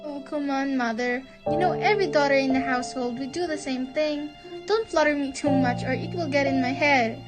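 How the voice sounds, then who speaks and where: A woman acting a gentle, earnest young daughter who loves nature, in a student radio drama. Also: sits high, moderate pace, natural voice. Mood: cheerful. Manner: earnest, theatrical, tender. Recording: background music under the voice.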